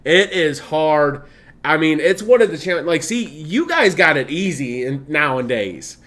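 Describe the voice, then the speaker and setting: 30s guy streaming, mixed midwest southern cali accent, a bit high voiced, laughs a lot, chatty. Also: very animated, a little slow, a bit bright. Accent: blended Midwestern, Southern and Californian. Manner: chatty, laughs often, reads game text aloud. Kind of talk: casual gameplay stream commentary.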